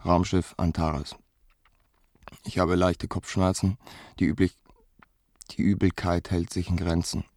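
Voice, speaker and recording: A groggy male astronaut with a low, flat, dark voice. He dictates a dutiful log haltingly, thick-tongued, in radio-play style. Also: no echo, studio quality.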